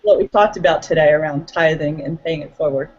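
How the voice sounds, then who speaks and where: A woman's voice: low-pitched, fairly quick, a little mellow, dull audio. A woman appearing as a guest coach in an online interview. She speaks earnestly and hesitantly, with frequent ums.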